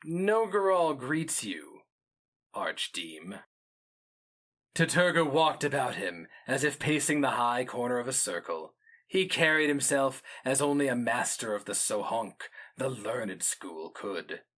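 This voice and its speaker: Guy narrating dark epic fantasy, mid-pitched voice, slow solemn and dramatic. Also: animated.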